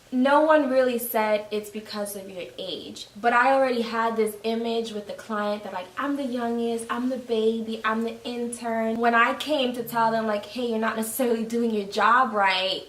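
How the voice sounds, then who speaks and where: A woman's voice: fairly high pitch, a bit bright. New York woman in her twenties, roomy sound. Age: 20s. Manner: candid, laughs easily. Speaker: host. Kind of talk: casual conversation. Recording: roomy, mic at a distance.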